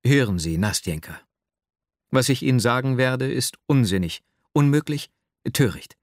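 A man's voice: medium pitch, normal speed, no echo, studio quality, voice pushed up, close to an everyday voice. A man playing an earnest, lovesick young man in an audiobook, agitated, self-doubting and urgent. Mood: confessional. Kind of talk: dramatic literary audiobook reading.

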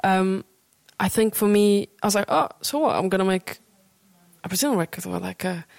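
A woman's voice: medium pitch, fairly quick, creaky, no room sound. A woman in her twenties from Portugal with a British accent, chatting casually and thinking aloud in an interview as a musician.

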